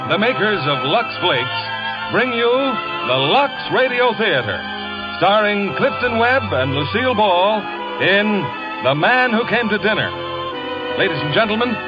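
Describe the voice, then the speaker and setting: Old radio announcer man, high-pitched over loud music. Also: big pitch swings, unhurried. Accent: American. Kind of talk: 1950s sponsored radio announcing. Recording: dull vintage radio recording, loud music.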